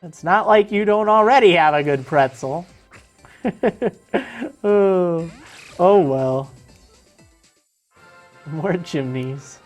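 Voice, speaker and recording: A woman's voice: on the low side, takes it slowly, pauses often, faint music behind. A woman streaming a video game in casual chat, answering viewers as she plays, her voice strained and tiring. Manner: chatty, answers viewer chat while playing.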